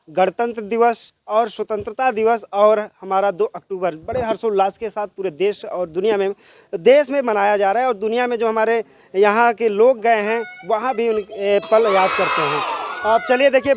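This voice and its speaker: A man from presenting a village news report outdoors at a primary school, speaking in a formal, flowery style. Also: high voice.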